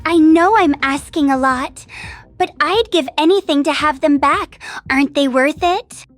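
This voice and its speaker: Tiny, high-voiced polite rabbit girl. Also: a little slow, soft background music. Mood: pleading. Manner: gentle, polite, pleading. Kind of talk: cartoon dialogue.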